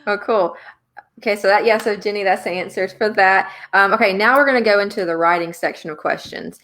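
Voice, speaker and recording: A woman's voice: mid-range voice, fairly quick, fairly bright tone. A woman from Louisiana with a Louisiana accent, hosting a live online author chat in an upbeat, chatty, rambling way on a podcasting microphone. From Louisiana, USA. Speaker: host.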